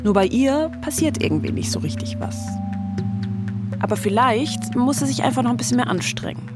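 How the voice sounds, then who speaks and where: A woman's voice: a little high, lively intonation, moderate pace. Woman narrating over prominent music. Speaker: documentary podcast host. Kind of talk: scripted narration.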